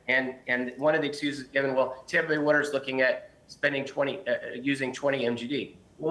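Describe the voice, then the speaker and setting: A man's voice: medium pitch, fairly bright tone, low-quality recording. A man on a city council arguing against a project at a public meeting, earnest and insistent with frequent restarts, losing his voice.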